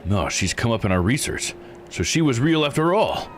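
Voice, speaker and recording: A man's voice: mid-range voice, sing-song, moderate pace, pitched above the performer's own voice, lightly acted. A man voicing a polite, curious investigator in a visual novel, courteous and inquisitive. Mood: intrigued. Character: polite, curious investigator probing an old mystery. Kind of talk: reading visual novel dialogue aloud. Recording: music under the voice. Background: game music.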